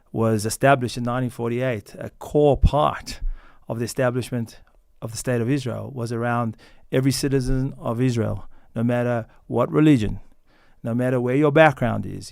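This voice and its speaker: An American man answering interview questions as a company founder, earnest and measured, often pausing with fillers and restarting. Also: medium pitch, gravelly, a little mellow, dry recording, studio quality. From United States.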